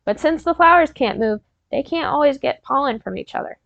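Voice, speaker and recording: High-voiced woman reading aloud to children. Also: animated, creaky, a bit bright, dry recording, highs cut off. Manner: encouraging, instructional, pausing to prompt and praise listeners. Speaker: teacher reading a picture book aloud to children. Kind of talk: interactive read-aloud, storytelling with questions to young listeners.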